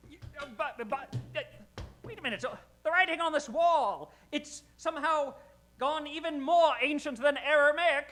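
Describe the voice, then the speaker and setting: An actor playing an excitable, pedantic professor in a comedic old-time radio adventure, theatrical and prone to flustered rambling. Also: male voice, very high-pitched, normal speed. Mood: flustered lecturing.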